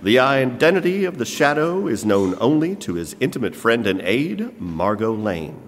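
A man's voice: medium pitch, big pitch swings, normal speed, faint music behind. A man announcing and narrating in a scripted, old-time radio style. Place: church hall, live performance. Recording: reverberant hall stage recording.

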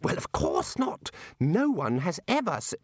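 A man's voice: fairly high pitch, huge pitch swings, measured pace, no echo, clean studio sound, voice pushed up, exaggerated voice. A man doing a fussy, earnest young wizard. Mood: exasperated. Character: earnest young male wizard scholar, exasperated.

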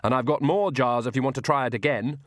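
A man's voice: medium pitch, quick pace, no room sound, clean studio sound, natural voice. A man voicing a plucky, defiant young woman, a witch in a fantasy audiobook.

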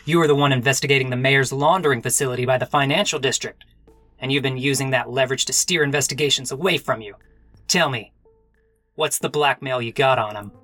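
A hard-boiled male private eye, played by a man in a comic radio mystery. His voice sits at a medium pitch with a sharp, forceful edge. He is sardonic and melodramatic, with a little music behind him. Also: fairly quick, no room sound, lightly acted. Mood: probing, knowing. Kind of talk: parody radio mystery acting.

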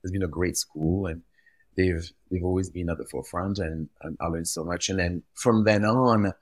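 Guy, really low voice, dry sound, talks animated and stumbles over words a bit. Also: normal speed, no room sound. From Belgium. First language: French. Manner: animated, repeats words while gathering thoughts. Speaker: guest, retail visual expert. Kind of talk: conversational podcast interview.

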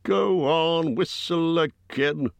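Man doing a rough booming bear-man host, higher and louder than his own voice, dry close sound. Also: slow, no room sound. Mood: gruff. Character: gruff huge bear-man host, blunt and impolite.

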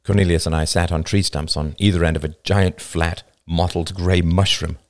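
A man reading a first-person story aloud with expressive storytelling. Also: sits low, moderate pace, no room sound, clean studio sound. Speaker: narrator.

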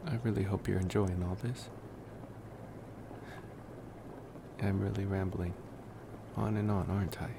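A man playing a reflective, gentle young college vampire in an ASMR roleplay, musing softly and intimately to the listener. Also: low-pitched, gravelly. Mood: wistful, pondering. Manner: musing, rambling, with pauses and sighs. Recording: noticeable steady background noise.

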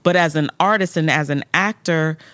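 A woman's voice: sits low, moderate pace, fairly bright tone, no room sound. A woman, an actress, as a guest on an interview podcast, telling stories animatedly and acting out quotes with big jumps in her voice, in a studio. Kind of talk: interview storytelling.

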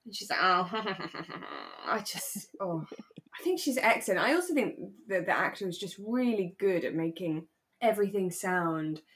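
A woman's voice: on the low side, expressive, unhurried, a bit bright. A woman co-hosting a podcast, chatting casually in an effusive, giggly manner about a radio drama. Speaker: podcast co-host.

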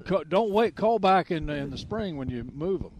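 A man hosting a call-in garden show, folksy and quick with interjections. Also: high voice, expressive, a crowd in the background. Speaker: radio show host. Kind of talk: call-in radio chat.

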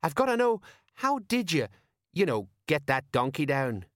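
Comic audiobook sergeant played by a man: older, dim, blustering and jittery, raised animated pitch, dry sound. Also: normal speed, dry recording. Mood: puzzled. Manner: indignant, blunt, colloquial.

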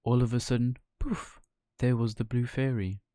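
A man's voice: mid-range voice, steady tone, moderate pace, mellow, no echo, clean studio sound. A man narrating a children's bedtime story as a storyteller.

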